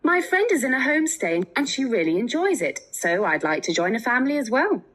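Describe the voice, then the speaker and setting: A woman's voice: a little high, sing-song, a little fast, a bit bright. An actress playing a friendly Japanese student in her twenties in a scripted language test dialogue, speaking carefully. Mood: polite. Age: 20s. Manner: careful, spells things out clearly. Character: friendly 28-year-old Japanese woman student seeking a homestay.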